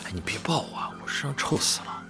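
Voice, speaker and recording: A man's voice: fast talker, lightly acted. An actor playing a brash modern rich young man who time-travelled to the 1930s, cocky and wisecracking, in a Chinese audio drama. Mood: frank, teasing. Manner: cocky, wisecracking, swings into tearful pleading. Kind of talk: Chinese audio drama acting with first-person narration. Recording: music behind the voice.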